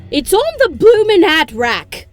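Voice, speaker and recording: A woman's voice: very high voice, a little slow, faint music behind, exaggerated voice. A woman voicing a long-suffering, motherly pony landlady, fond but chiding, in an audio drama. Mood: exasperated. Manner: expressive, chiding. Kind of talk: scripted audio drama acting.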